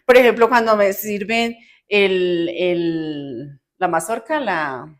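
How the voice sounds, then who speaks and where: Una mujer de Bogotá, con acento colombiano, conversa de forma animada y espontánea como invitada, riendo con facilidad. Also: female voice, on the low side, slow pace. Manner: chatty, animated, laughs easily, self-correcting. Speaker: guest. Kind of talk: casual chat.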